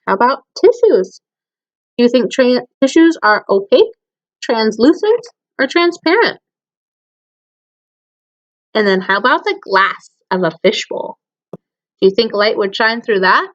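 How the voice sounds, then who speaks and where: A woman's voice: fairly high pitch, big pitch swings, measured pace, long pauses. Lady teacher reading poems to little kids, really sing-song up and down, asks them stuff, bit muffled audio. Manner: addresses children with frequent questions. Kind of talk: read-aloud of children's poems with explanations.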